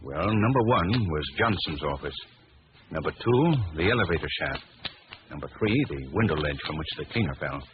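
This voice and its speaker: An actor playing an urgent, self-assured gentleman detective with brisk authority in 1940s radio style. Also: rumbling low, animated. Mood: brisk, focused. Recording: dull, noisy old radio recording.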